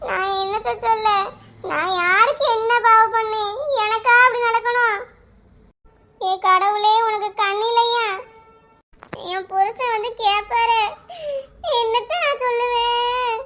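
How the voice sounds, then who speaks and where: A woman's voice: very high voice, steady tone, bright, forceful tone, heavily acted. A woman playing a distraught village wife in a comic cartoon, lamenting melodramatically in a southern Tamil Nadu accent. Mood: despairing lament. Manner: wailing, drawn-out lamenting.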